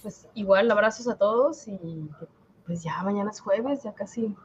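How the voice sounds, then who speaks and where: A woman's voice: medium pitch, normal speed, highs cut off, slight background noise. A woman making casual conversation as a livestream co-host. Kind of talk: casual chat.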